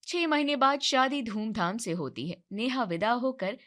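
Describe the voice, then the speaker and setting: A woman's voice: fairly high pitch, expressive, moderate pace, fairly bright tone. A woman narrating a scripted story.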